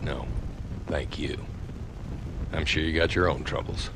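Deep-voiced old frontier marshal. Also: male voice, steady tone, unhurried. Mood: weary, concerned. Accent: American. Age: older. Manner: terse, understated. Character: weary, stoic old frontier town marshal. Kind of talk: video game cutscene acting. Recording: clear ambient background noise.

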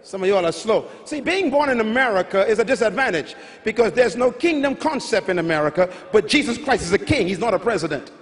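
A man's voice: high voice, lively intonation, quick pace, hardly any pauses. A middle-aged man with a Bahamian accent preaching a sermon on a large arena stage with a handheld mic, teaching emphatically and repeating key lines. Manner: emphatic, repetitive teaching with rising calls. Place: large arena stage before a big audience. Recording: large hall with long reverb.

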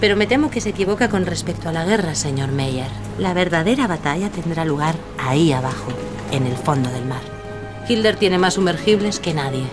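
A woman playing a poised, sharp young actress in an audio drama, formal and self-assured. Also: low voice, lively intonation, fairly quick, music in the background.

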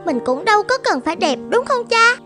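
A woman's voice: extremely high pitch, big pitch swings, fairly quick. A woman voicing a devoted, determined fifteen-year-old girl in a cartoon, polite and earnest. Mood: eager. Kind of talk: animated film dubbing. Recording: music behind the voice.

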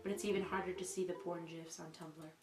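A young woman reading a mock confession in a deadpan, earnest, dramatic style. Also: fairly low pitch, brisk. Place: small room indoors. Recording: roomy echo. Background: clear music.

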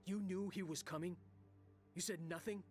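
A man's voice: high-pitched, steady tone, normal speed, darkish tone, faint music behind, lightly acted. A young man playing an intense, emotional, resentful ninja son in a video game. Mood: bitter, angry. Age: young adult. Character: hot-headed, wounded young male ninja warrior, resentful son. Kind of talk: dramatic video game acting.

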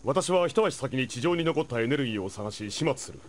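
An actor playing a stoic, noble giant robot warrior from space in an animated game cutscene, speaking gravely and deliberately. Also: male voice, mid-range voice, natural voice.

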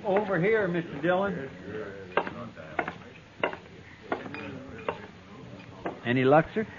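An actor playing a marshal's meek, loyal sidekick, hesitant and deferential, in a 1950s radio western. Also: male voice, high-pitched, animated, brisk. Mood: friendly. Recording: muffled, noisy vintage radio recording.

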